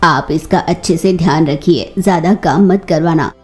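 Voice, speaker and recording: A woman voicing a calm, professional female crow nurse in a cartoon story, measured and reassuring. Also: low-pitched, faint music behind, voice pushed down, lightly acted. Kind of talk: Hindi children's cartoon story acting.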